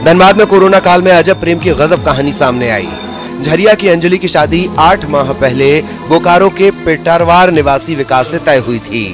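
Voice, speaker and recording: A man reading scripted news reports as a broadcast voice-over. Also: on the high side. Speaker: news narrator. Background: background music.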